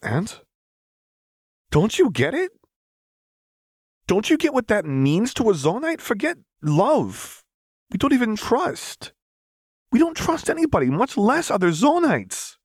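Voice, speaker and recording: A man voicing a brash young man from a rough undercity in an audiobook, exasperated and wry, speaking in emphatic slang. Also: sits high, huge pitch swings, slow pace, long pauses, dry recording, clean studio sound, voice pushed up, exaggerated voice. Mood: agitated. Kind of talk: audiobook character dialogue.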